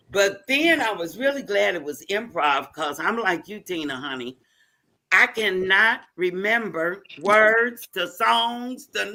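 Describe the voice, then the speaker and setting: A man's voice: high-pitched, pushed and bright, poor audio. A man chatting candidly and colloquially as a cast member on a panel. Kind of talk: casual chat.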